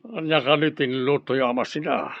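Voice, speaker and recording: A man's voice: medium pitch, a little fast, vocal fry, no echo, lo-fi sound, close to an everyday voice. An actor playing an easygoing, teasing Filipino family man in an Ilocano radio drama.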